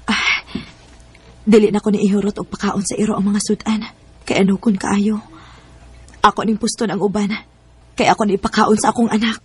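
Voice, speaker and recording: A woman's voice: medium pitch, little pitch movement, moderate pace, pauses often, breathy edge. A woman acting a struggling, tender young single mother in a radio drama, earnest and emotive, with a Bisaya Filipino accent. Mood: tender, pitying.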